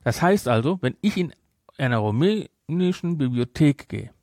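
A man's voice: fairly high pitch, lively intonation, normal speed, darkish tone, no room sound, studio quality. A man speaking as a podcast host, discussing a film casually and emphatically, repeating his points to drive them home.

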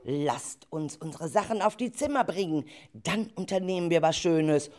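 A woman's voice: low-pitched, animated, moderate pace, a little mellow. A woman playing an enthusiastic leader of a group of schoolchildren in an amateur radio play, talking to them in simple, encouraging phrases. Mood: excited.

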